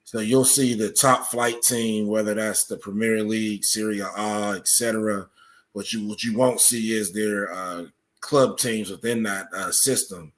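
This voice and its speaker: Guy with a lowish voice, podcast guest explaining stuff in an interview. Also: fairly even intonation, a little slow. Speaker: podcast guest, article author. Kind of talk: interview answers, explanatory talk.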